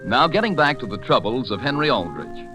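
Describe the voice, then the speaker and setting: A man's voice: medium pitch, sing-song, a little fast, over music. A man announcing in a polished, emphatic 1940s radio style, reading scripted sponsor copy and introductions on a vintage broadcast.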